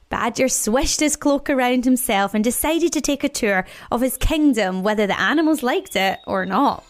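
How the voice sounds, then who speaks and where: A woman narrating a children's story expressively, with wry, playful asides and dramatic pauses. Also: fairly high pitch.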